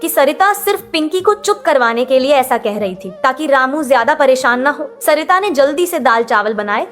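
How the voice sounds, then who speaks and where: A woman narrates a scripted children's story in a distinctly high voice. Music plays behind her throughout. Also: lively intonation, normal speed, fairly bright tone.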